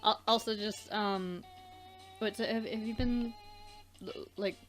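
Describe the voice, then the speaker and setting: A woman's voice: medium pitch, fairly even intonation, unhurried, soft background music. A woman co-hosting a let's play, commenting casually and reacting with blunt disbelief.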